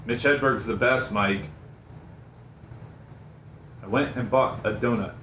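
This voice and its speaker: A man's voice: medium pitch, slow, fairly bright tone. A man on a radio show crew, talking casually in on-air banter. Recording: roomy, off-mic, faint background noise.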